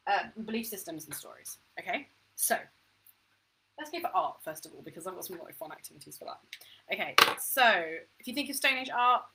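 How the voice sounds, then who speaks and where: A chatty woman teaching to camera in a relaxed, self-deprecating way, often thinking aloud. Her voice sits a little low in pitch but comes across bright and forceful. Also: low-quality recording. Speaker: home education teacher.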